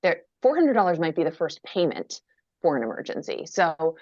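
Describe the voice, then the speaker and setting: A woman's voice: sits low, big pitch swings, normal speed, darkish tone, dry recording, lo-fi sound. A woman in her thirties from Minnesota, answering interview questions on a video call with candid, wry, self-deprecating humor. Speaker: guest author.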